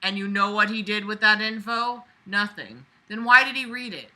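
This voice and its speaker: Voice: medium pitch, normal speed, bright, forceful tone. A reader going through a book aloud with sarcastic running commentary, exasperated, blunt and prone to swearing.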